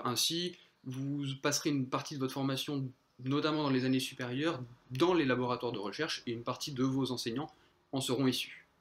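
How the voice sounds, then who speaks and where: Man, mid pitch, explanatory school presentation. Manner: explanatory, occasional fillers. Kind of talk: presentation to prospective students.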